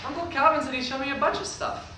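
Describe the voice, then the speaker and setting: An actor playing an excitable young boy who gushes like a child, in a staged play reading. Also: extremely high pitch, quick pace. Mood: pleading. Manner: gushing, exclaiming like a child. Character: excitable young boy, wrestling-mad son of a preacher. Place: indoor theatre stage. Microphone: distant camera microphone. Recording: echoey stage recording from far off, faint noise.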